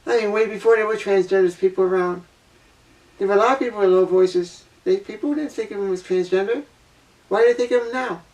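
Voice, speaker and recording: A woman's voice: a little fast, a little mellow, distant mic, poor audio. Blunt woman ranting to camera. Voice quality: low voice. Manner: blunt, preachy, repeats points for emphasis. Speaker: vlogger. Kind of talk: opinion monologue to camera.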